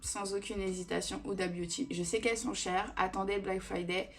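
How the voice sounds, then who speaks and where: A woman's voice: mid-range voice, little pitch movement, fairly quick. A woman in her thirties answering viewer questions in a casual beauty vlog, chatty and digressive with many fillers. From Italian family roots. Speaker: beauty vlogger. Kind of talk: casual Q&A chat.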